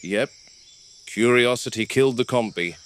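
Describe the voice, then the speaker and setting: A man playing a weathered game warden who speaks tersely, quiet and subdued when upset. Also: mid-range voice, normal speed, lightly acted. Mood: calm. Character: weathered male park game warden, grim, practical. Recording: noisy, with crickets and insects behind.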